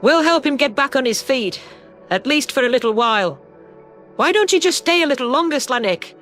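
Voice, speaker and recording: A man's voice: extremely high pitch, expressive, brisk, bright, forceful tone, soft background music, voice pushed up, heavily acted. A man voicing a worried, hesitant middle-aged alien mother in a sci-fi audio story, reasoning and imploring.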